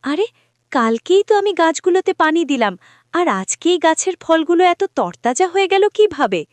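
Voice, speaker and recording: A woman's voice: very high-pitched, big pitch swings, normal speed, dry recording. A woman voicing a sweet, put-upon and dutiful young village girl in a cartoon, earnest and polite. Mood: amazed. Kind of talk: cartoon voice acting.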